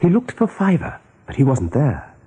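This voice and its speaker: A man narrating a radio play as a dramatic storyteller, with a husky voice. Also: mid-range voice, wildly swooping pitch, normal speed, dark tone, muffled recording.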